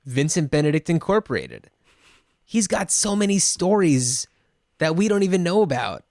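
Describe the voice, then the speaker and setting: A man co-hosting a casual movie podcast, easygoing and quick to laugh. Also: fairly high pitch, very animated, darkish tone, no echo, studio quality. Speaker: podcast co-host. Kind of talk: casual chat.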